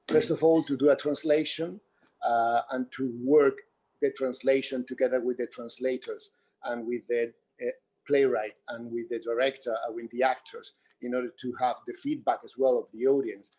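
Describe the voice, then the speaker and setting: A man's voice: medium pitch, mellow. A man with a Spanish accent, a theatre director explaining his work in a hesitant way at a Q&A. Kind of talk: explaining in a post-show Q&A.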